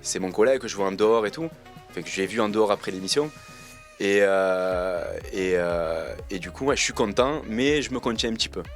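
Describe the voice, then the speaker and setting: Laid-back guy, low voice. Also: a little fast, soft background music. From Marseille, France. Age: 20s. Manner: relaxed, slangy, hesitant with many fillers. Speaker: reality TV contestant interviewed. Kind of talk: casual interview answers.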